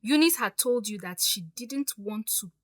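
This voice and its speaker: A woman's voice: a little high, animated, normal speed, fairly bright tone, dry recording, studio quality. A woman with a Nigerian accent playing a hurt, defiant young stepdaughter in an audio drama, speaking with emphatic, pleading firmness. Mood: wounded, reproachful. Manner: emphatic, pleading yet firm.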